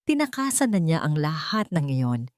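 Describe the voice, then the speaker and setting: Female storyteller, low register, dramatic scripted delivery, close and very dry sound. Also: big pitch swings, moderate pace, darkish tone, no echo.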